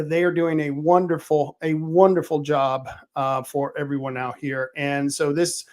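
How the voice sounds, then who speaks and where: A middle-aged man with a somewhat high voice hosts a political livestream. He talks casually, with many restarts and fillers. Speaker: political talk show host.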